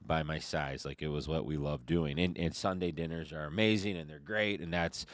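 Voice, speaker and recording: A man's voice: extremely low pitch, normal speed, no room sound. An American man from the Detroit area hosting a casual podcast interview, chatty and rambling, often seeking agreement. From Detroit area, east side, United States. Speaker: podcast host.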